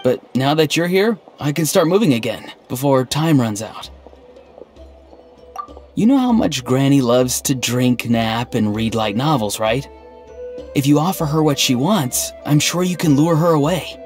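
Deadpan, earnest young man voiced by an actor. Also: medium pitch, natural voice. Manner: deadpan, halting, stiffly sincere. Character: earnest, odd, melancholy young man who tends vegetables. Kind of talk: video game dialogue acting. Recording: game music underneath. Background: background game music.

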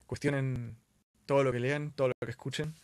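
An Argentine man hosts a live stream in a casual, earnest way. His voice has a middle pitch. He speaks informally and leans on repeated words to drive points home. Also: level tone, brisk, dry recording. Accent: Argentine Spanish.

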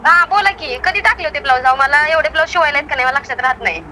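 A woman's voice: sits high, rapid-fire, pushed and bright. A woman tailor with a western Maharashtrian accent, insistent and argumentative in a phone conversation. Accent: western Maharashtrian Marathi. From Karad area, Maharashtra, India. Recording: phone call with faint street noise.

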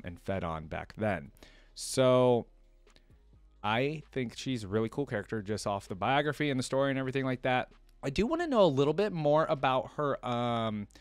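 Low-voiced young man in a dry room. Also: no echo. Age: 20s. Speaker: reaction video host. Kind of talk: casual reaction commentary and reading a story aloud. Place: indoor room at a desk with gaming chair.

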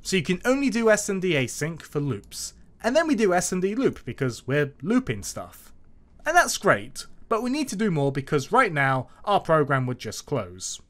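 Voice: medium pitch, sing-song, moderate pace. A tutorial host narrating a scripted programming lesson step by step in an instructional manner. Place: voice-over to a screen recording.

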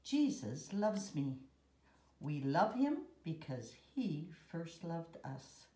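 In a roomy, echoing space, an older woman reads a picture book aloud. Her voice is mellow and fairly low, and she takes careful, measured phrases. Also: sing-song. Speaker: guest story reader. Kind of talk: read-aloud children's picture book with Bible verses. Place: room at home. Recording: roomy echo.

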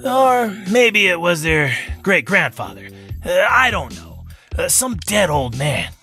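A man's voice: high-pitched, sing-song, slow pace, bright, forceful tone, soft background music, heavily acted. An actor playing a chatty, teasing old male store attendant in a visual novel, with folksy colloquial speech. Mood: teasing, storytelling.

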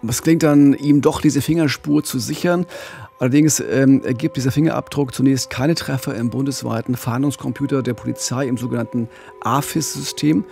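A middle-aged man hosting a true-crime podcast, telling the story with a gravelly, husky voice on a studio mic. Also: mid-range voice, fairly even intonation, moderate pace, dark tone, soft background music. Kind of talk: podcast storytelling, partly scripted. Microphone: studio mic with pop filter, headphones.

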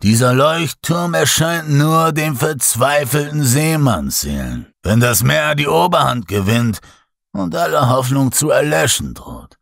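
A man voicing an old sailor storyteller with a dark, ominous voice, warning his shipmates in a horror audiobook. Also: mid-range voice, big pitch swings, measured pace, creaky, no echo, clean studio sound, pitched above the performer's own voice, exaggerated voice. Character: old male sailor storyteller, grim and foreboding.

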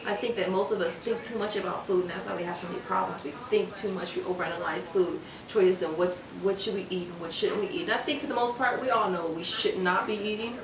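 A woman's voice: mid-range voice, steady tone, quick pace, hardly any pauses, a crowd in the background. A young woman vlogging casually about meal prep in her home kitchen, chatting with lots of fillers and restarts. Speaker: fitness vlogger. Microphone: camera on counter a few feet away. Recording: roomy, distant, noisy camera audio.

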